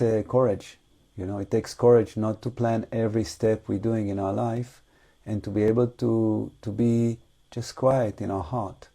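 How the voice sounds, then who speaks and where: A man with a fairly low, very soft and dark voice teaches meditation in non-native English. He speaks off the cuff in a reflective, halting way, leaving long pauses between short phrases. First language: not English. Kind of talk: unscripted guided meditation talk.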